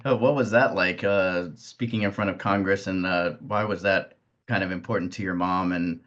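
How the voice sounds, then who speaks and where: Low-voiced man hosting, slightly dull audio. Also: steady tone, moderate pace, fairly bright tone. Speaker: interviewer, host. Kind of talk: casual interview chat.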